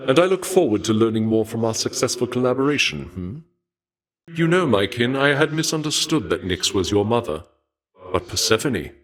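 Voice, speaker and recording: A man voicing a courteous, regal god of war in a video game, speaking formally in archaic, measured phrases with a dark fascination for death. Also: lowish voice, lightly acted. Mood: curious, courteous. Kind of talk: scripted video game character dialogue.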